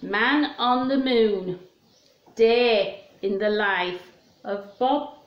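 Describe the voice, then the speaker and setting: A roomy home recording of a woman. Her voice is fairly high and she takes her time, speaking very slowly. She presents a story to young children in simple words, putting questions to them as she reads. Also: muffled recording. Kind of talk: reading a picture book aloud to children. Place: small room at home, indoors.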